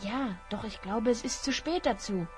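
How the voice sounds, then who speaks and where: A woman's voice: fairly high pitch, lively intonation, moderate pace, soft background music, natural voice. A woman playing a brave, composed young hero in a fantasy audio drama. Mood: calm, resolute. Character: brave, composed young red-haired hero with magic staff.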